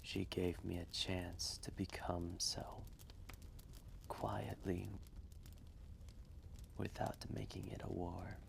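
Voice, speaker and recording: A man playing an ancient, wise and gentle dragon, telling a story in a hushed, breathy, measured voice with long pauses. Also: low voice, little pitch movement, slight background noise, heavily acted. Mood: reflective, solemn. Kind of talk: slow intimate storytelling roleplay, ASMR-like.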